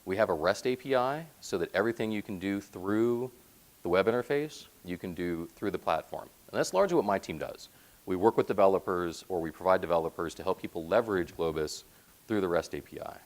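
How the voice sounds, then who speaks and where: A man with a deep, resonant voice presenting technical slides at a conference, explaining things in a plain, informal way. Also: lively intonation, a little fast. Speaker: conference presenter, software platform team member. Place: presentation room, speaking to slides.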